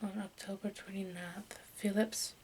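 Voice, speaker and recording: A woman reading aloud in a breathy whisper for ASMR, haltingly and with small stumbles over the words. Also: mid-range voice, poor audio. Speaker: host of an ASMR channel. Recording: steady background noise, room ambience.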